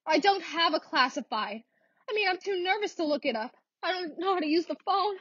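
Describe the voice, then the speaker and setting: A woman's voice: extremely high pitch, expressive, fairly quick, fairly bright tone, no room sound, dull audio. A woman playing a frantic, demanding bedridden wife in a radio thriller, with an indignant, melodramatic manner. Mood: desperate, agitated. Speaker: actress in a student radio play. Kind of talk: theatrical radio thriller acting.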